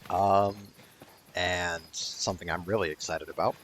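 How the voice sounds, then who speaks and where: A man's voice: deep voice, steady tone, measured pace, fairly bright tone, a little hiss or hum. A man hosting a gaming video with casual, rambling, mildly profane unscripted commentary.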